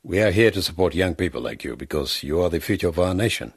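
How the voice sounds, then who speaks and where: An actor playing a vain, pompous member of parliament who insists on being called honorable, in a radio drama. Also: male voice, sits low, expressive, quick pace, husky, no room sound, studio quality, exaggerated voice. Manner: pompous, insists on his title. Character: vain smooth-talking male politician, middle-aged.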